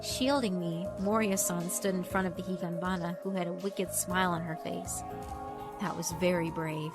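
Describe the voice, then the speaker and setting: A woman voicing a somber, resigned young student who narrates a horror story in a grave, measured way. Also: on the low side, fairly even intonation, music in the background, pitched below the performer's own voice, lightly acted. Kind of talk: scripted first-person horror narration.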